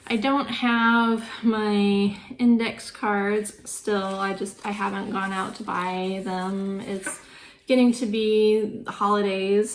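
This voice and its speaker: A woman's voice: mid-range voice, a little hiss or hum, poor audio. A woman in her thirties vlogging casually about her writing, sick and congested, with a voice that is going, rambling and thinking aloud. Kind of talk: casual vlog chat.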